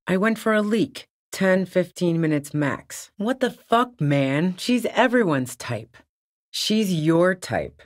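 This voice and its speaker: A woman's voice: deep voice, dry recording. A woman playing a tough, sardonic female lorry-driving smuggler in a video game, with a dry, sarcastic and casually profane way of talking. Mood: defiant, irritated. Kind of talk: video game character dialogue.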